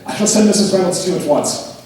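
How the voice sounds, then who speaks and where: A man on stage playing a reserved, courteous young gentleman with stiff, grave formality. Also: sits high, quick pace, lightly acted. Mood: grave concern. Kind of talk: amateur stage play acting. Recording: distant echoey hall, faint noise.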